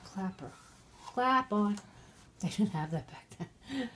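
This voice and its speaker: A woman's voice: mid-range voice, animated, slow pace, a bit bright, a little hiss or hum. A middle-aged woman, very drunk, reading a Christmas storybook poem aloud, stumbling over words and breaking off into irreverent asides. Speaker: mother reading a Christmas poem to her family.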